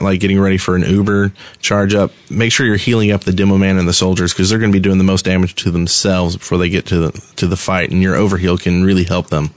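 A man's voice: deep voice, no echo. A man co-hosting a gaming podcast, talking casually. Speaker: podcast co-host.

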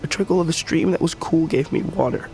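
An actor playing a gravely wounded, fatalistic blind tribesman in an audio drama, speaking weakly and haltingly as he reflects. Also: fairly high pitch, a little mellow. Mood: haunted. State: wounded, weak, halting breath. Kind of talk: audio fiction drama acting. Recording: music bed under the dialogue.

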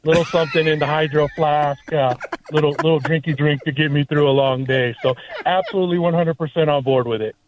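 A man's voice: a little high, steady tone, brisk, a crowd in the background. A man phoning in to a radio show, chatting casually over a telephone line. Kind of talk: casual call-in chat. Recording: thin phone-in line.

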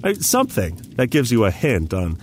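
An actor playing an unsure male newcomer to a game speaks in halting, stammering bursts with a very animated pitch. He comes across as overwhelmed but friendly, in natural audio drama dialogue, with noticeable background noise. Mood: puzzled, doubtful. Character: overwhelmed, hesitant male rookie gamer, confused but friendly.

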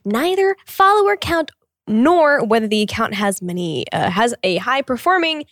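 A woman's voice: on the high side, sing-song, moderate pace, a bit bright, dry recording, studio quality. A woman co-hosting a podcast, light and self-mocking in casual chat, often laughing. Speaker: podcast co-host.

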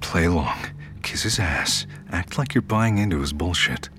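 A frightened, defiant captive narrating an audio thriller in a deep, expressive voice. His inner monologue is clipped and intense, with music underneath. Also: normal speed. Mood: wary, urgent. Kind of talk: dramatic first-person narration.

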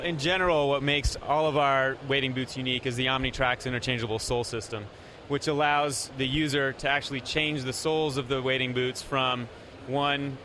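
A man representing a company, explaining product features in a steady way with frequent pauses. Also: mid-range voice, a little hiss or hum.

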